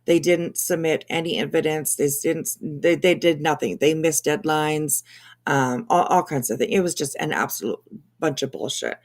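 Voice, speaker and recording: Halting, casual woman chatting on a livestream. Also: low-pitched. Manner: halting, rambling explanations. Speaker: livestream co-host. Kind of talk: casual commentary chat.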